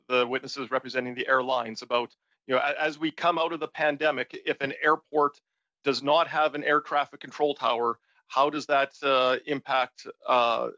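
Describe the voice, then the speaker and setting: A man questions witnesses at a committee hearing in a formal, deliberate manner. His voice is bright and forceful, though the dry audio is slightly dull and lo-fi. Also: medium pitch, level tone, no room sound. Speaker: member of parliament.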